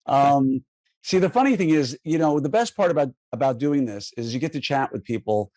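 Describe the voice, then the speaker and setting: A man's voice: mid-range voice, expressive, moderate pace, a little mellow, no echo. A man in his fifties with a faded New York accent, hosting a podcast in a joking, friendly way. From Queens and Long Island, New York. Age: 50s. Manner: friendly, joking, laughs often, many fillers. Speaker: podcast host. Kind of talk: casual podcast interview.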